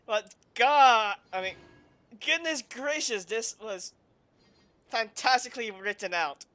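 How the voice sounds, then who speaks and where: Bright excitable gameplay commentator. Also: mid-range voice, takes it slowly. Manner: excitable, rambling, gushing. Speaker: game streamer. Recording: faint game music behind.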